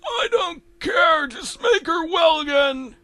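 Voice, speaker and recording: A man's voice: very high-pitched, lively intonation, takes it slowly, pushed and bright, no room sound, voice pushed up, full character voice. A man voicing a cranky, abusive old cartoon farmer who bellows blame in whiny outrage. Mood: furious.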